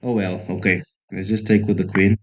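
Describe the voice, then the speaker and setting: A man's voice: sits low, level tone, normal speed, muffled recording. A man from South Africa streaming chess, thinking aloud and chatting casually with viewers in a self-deprecating way. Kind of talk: casual live-stream commentary.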